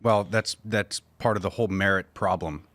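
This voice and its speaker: A man with a fairly low but bright, forward voice talks casually on a podcast panel. He is recorded dry and close. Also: steady tone, normal speed, no echo. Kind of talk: casual chat.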